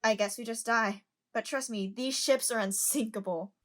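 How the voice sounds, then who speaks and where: A woman's voice: on the high side, moderate pace, lightly acted. A woman in an amateur audio drama playing a curious, outspoken young passenger who chats and lectures. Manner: chatty, lecturing.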